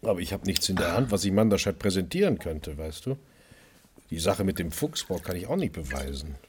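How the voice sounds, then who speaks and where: An actor playing a wry, stubborn chef who narrates his own radio crime drama in a husky voice. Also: deep voice, big pitch swings, fairly quick, a little mellow, a little hiss or hum. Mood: weary, stubborn. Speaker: lead actor and first-person narrator.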